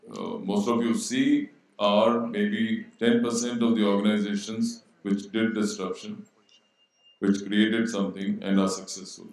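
A middle-aged man speaking on a panel into a handheld mic, measured and posing rhetorical questions to students. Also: on the low side, darkish tone. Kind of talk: panel discussion. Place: large auditorium stage. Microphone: handheld microphone. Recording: very echoey hall.

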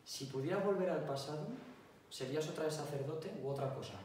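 A man's voice: a little high, fairly quick, a little mellow. A young man with a Spain accent, asking casual interview questions for his channel. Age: teens to young adult. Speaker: interviewer, YouTuber. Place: indoor hall with wooden bench. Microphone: small handheld mic. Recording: very echoey room, distant, faint noise.

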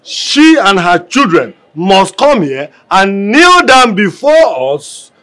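A man's voice: high voice, sing-song, slow, sharp tone, exaggerated voice. An actor with a Nigerian accent playing a fed-up, self-important older family man who complains in declamations. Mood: exasperated. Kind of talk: Nollywood film acting.

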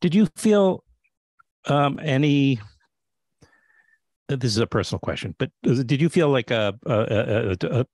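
Middle-aged man, interview host, medium pitch, halting questions, self-interrupting, dry close sound. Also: expressive, a little mellow, no room sound. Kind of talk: casual interview. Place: small book-lined home room, indoors. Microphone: studio mic on boom arm.

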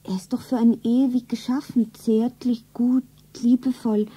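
A woman's voice: on the high side, little pitch movement, measured pace, dark tone, slightly rough, low-quality recording. An actress playing a naive, earnest young shop girl in love in a radio play. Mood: pensive. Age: young adult. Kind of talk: stylised radio play acting, narrating her own scene. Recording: 1970s radio play, music behind.

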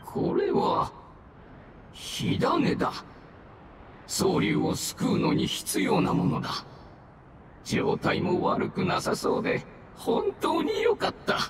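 A wavering, slow, ancient mushroom spirit. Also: male voice, very high voice, huge pitch swings, roomy sound, heavy background noise, heavily acted. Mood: solemn, relieved. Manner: slow, archaic elderly speech, halting. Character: dying ancient mushroom spirit, weary, devoted old male. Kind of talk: dramatic game dialogue acting.

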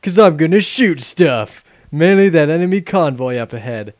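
A man's voice: a little high, expressive, moderate pace, lightly acted. A man playing a cocky, wisecracking, sarcastic adventurer in a fan-made game parody dub. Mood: flippant. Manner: flippant, sarcastic.

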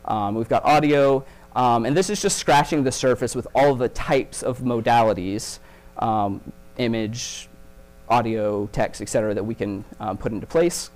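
A man at a conference lectern walks an audience through technical material. His voice sits at a middle pitch, and he explains steadily, often pausing on "um" and "uh" as he goes. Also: darkish tone. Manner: explanatory, frequent "um" and "uh" fillers. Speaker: keynote speaker. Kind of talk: conference keynote talk. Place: conference stage.

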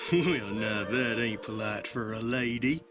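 A man's voice: mid-range voice, takes it slowly, lightly acted. Man playing a drawling Southern American cowboy goon. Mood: smug drawl. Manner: drawling, folksy. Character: dim, cocky American cowboy henchman. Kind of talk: video game cutscene acting. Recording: game soundtrack with loud music behind.

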